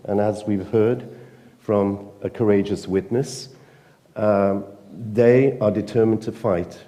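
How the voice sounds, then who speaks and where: A man with a British accent, speaking earnestly and emphatically at a conference panel, pausing often with fillers. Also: on the low side, dark tone, big-room sound. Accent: British English. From Britain. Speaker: panel speaker, newspaper editor. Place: conference hall.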